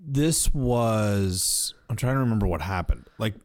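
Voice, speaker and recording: A man's voice: lowish voice, expressive, measured pace, a little mellow. A man co-hosting a podcast, talking about pop culture in an informal, joking, conversational way. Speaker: podcast co-host. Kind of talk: casual pop-culture chat.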